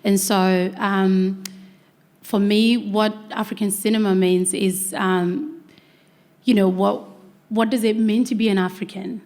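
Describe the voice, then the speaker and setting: A woman panelist speaks in an even, flat tone. She is earnest and hesitant and keeps restarting her sentences. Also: mid-range voice, unhurried. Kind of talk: panel discussion.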